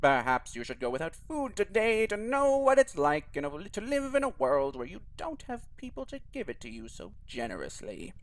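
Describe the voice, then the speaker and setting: A man voicing a frail elderly village priest, speaking in a slow, drawn-out preaching cadence. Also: on the high side, huge pitch swings, pitched above the performer's own voice. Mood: stern.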